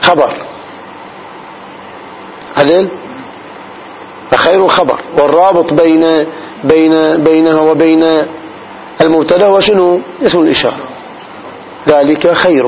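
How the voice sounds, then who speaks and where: A man teaches a classical grammar lesson in a slightly raised voice. He breaks each point down slowly and keeps asking his students whether it is clear. The recording sounds dull, with a faint hiss behind him. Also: fairly high pitch, fairly even intonation. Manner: explains slowly, often checks the class's understanding.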